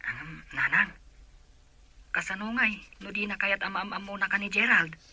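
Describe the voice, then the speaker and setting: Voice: medium pitch, normal speed, pushed and bright, no echo. An actor playing a grown child who confides in family and friends in an Ilocano audio drama. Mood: questioning.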